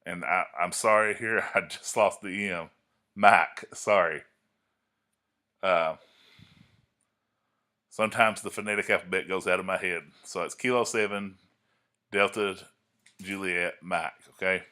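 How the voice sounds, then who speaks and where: A man with a deep voice and a clear-edged, bright tone speaks. He presents an informal tutorial in a folksy, explanatory manner, pausing to check that the listener follows. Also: steady tone. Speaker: amateur radio hobbyist presenting a tutorial. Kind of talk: informal screen-recorded tutorial.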